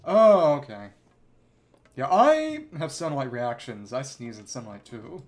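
A man's voice: medium pitch, big pitch swings, a little slow, slight background noise. A man chatting casually as he plays a game on a livestream. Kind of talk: casual livestream gameplay chat and reading along.

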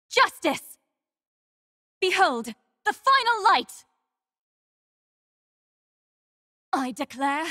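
A woman voicing a devout young female warrior in an anime-style game, gentle and solemn, speaking formally and with measured earnestness. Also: very high voice, dry recording, clean studio sound, exaggerated voice. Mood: fierce, commanding.

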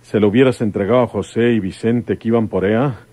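Guy acting as a resolute mexican rural detective in a radio soap, mellow dark voice, very dry sound, keeps pressing with questions. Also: lowish voice, fairly even intonation, quick pace, no room sound, close to an everyday voice. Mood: pressing, suspicious. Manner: rustic country speech, insistent questioning. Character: stern rural detective, a father, probing and resolute. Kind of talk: old-time radio drama acting.

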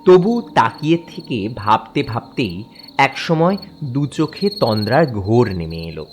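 A man's voice: medium pitch, very animated, measured pace, slight background noise. A man narrating a scripted horror story as a storyteller, in a dramatic style.

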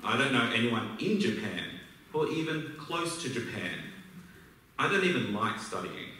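A man in his thirties with an Australian accent, giving a prepared, measured speech at a podium in a large auditorium. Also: mid-range voice, lively intonation, sharp tone, recorded from a distance, slight background noise. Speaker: alumni speaker. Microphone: podium mic, filmed from far back in the hall. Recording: echoey hall recorded from afar.

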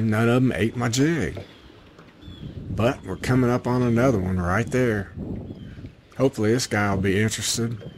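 Middle-aged man, mid-range pitch, casual enthusiastic fishing commentary, folksy, noisy open-air boat recording. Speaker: fishing vlogger. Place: outdoors on a small open boat on a lake. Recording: clear outdoor wind and boat noise.

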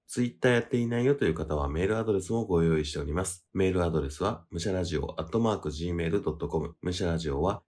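A man with a very deep voice hosts a podcast. He speaks in a casual, conversational way as he explains things and makes announcements to listeners. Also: darkish tone. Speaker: podcast host.

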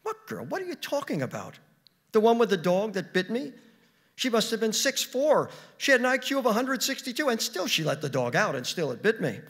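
A man reading a story, voicing a demanding, sharp-tongued wife with an emphatic, incredulous manner. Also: fairly high pitch, very animated, a little fast, few pauses, breathy edge, heavily acted. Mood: exasperated. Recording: reverberant hall with long echo.

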